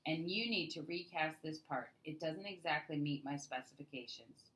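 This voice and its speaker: A woman's voice: low-pitched, normal speed, a bit bright. A woman reading a storybook voices a determined young woman inventor in a firm, plain-spoken way.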